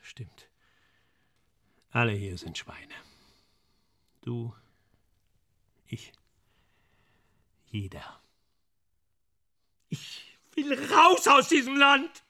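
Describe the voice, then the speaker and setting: A man in a German radio play acting an anguished, guilt-ridden screenwriter, halting and agitated as he pleads. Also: mid-range voice, huge pitch swings, bright, forceful tone, exaggerated voice. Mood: desperate.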